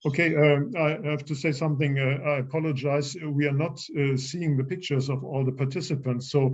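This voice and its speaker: A man teaching an informal online language class in a halting, hesitant way over a muffled call. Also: on the high side, steady tone, darkish tone. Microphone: video call.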